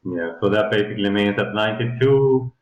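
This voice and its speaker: Swedish man presenting a coaching call, halting and casual, roomy echo. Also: on the low side, highs cut off. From Sweden. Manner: hesitant, many fillers, tags "yeah?". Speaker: co-host of a coaching webinar.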